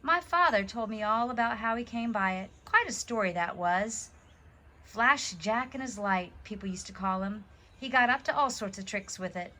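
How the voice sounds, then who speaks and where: Woman reading as a wistful old gardener, bright-toned. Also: medium pitch, a little hiss or hum, close to an everyday voice. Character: kindly ageing male gardener writing a farewell letter.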